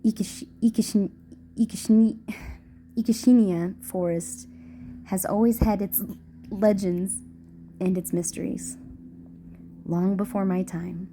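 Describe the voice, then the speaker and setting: Casting: female actor for tender ghost girlfriend, very mellow and slow, shy playfulness, formal halting speech, intimate audio roleplay. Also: mid-range voice, steady tone, slight background noise. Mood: wistful, curious. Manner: soft, hesitant, formal wording with halting stammers.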